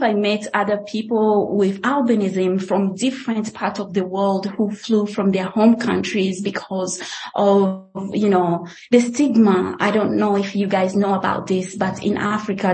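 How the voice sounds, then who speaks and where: A young woman from the Democratic Republic of Congo, a guest telling her life story in an interview, speaking hesitantly with many pauses and fillers. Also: mid-range voice, darkish tone, a little hiss or hum, low-quality recording.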